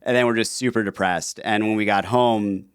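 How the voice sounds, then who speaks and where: A man's voice: fairly low pitch, moderate pace, clear, resonant tone, no room sound, studio quality. A man in his thirties, a guest on an interview podcast, telling stories casually with frequent fillers. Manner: relaxed storytelling with frequent fillers.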